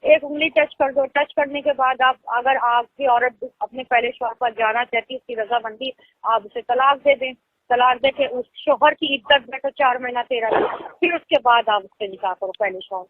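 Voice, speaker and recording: Young woman, Lucknow Hindi accent, high voice, chatty explaining, tinny phone line. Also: moderate pace, no echo. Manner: explains at length, laughs easily. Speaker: phone caller. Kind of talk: casual phone conversation. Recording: tinny phone call recording.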